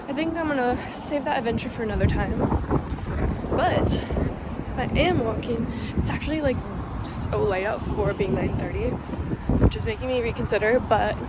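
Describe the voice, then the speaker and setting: Chatty young woman with a head cold. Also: on the high side. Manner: chatty, giggly, rambling. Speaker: flight attendant vlogger. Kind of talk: casual vlog to camera. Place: outdoors on busy city streets. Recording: loud crowd and street noise.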